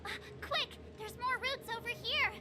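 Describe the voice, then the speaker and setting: Young game heroine, extremely high voice, perky, eager, excitable, music underneath. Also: female voice, moderate pace. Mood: urgent. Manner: bubbly, excitable. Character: perky, eager young girl adventurer, upbeat. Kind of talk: video game voice acting.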